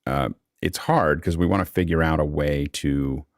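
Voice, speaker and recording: Very deep, creaky-voiced man hosting. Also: normal speed, dry recording, studio quality. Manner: rambling, thinking aloud, often repeats words. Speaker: host. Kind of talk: casual unscripted panel discussion. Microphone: close microphone on an online video call.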